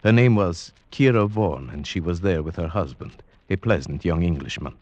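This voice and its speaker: An actor playing a cultured Slavic gentleman in an old radio drama, speaking formally and with measured care. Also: deep voice, animated, no room sound. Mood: grave, brooding. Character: cultured Slavic gentleman recounting a grim werewolf tale. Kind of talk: 1940s radio drama narration. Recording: muffled vintage radio recording with music.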